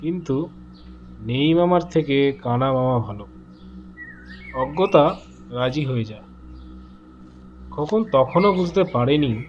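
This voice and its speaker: A man narrating a horror story dramatically, in measured short phrases with pauses. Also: medium pitch, highs cut off, a little hiss or hum.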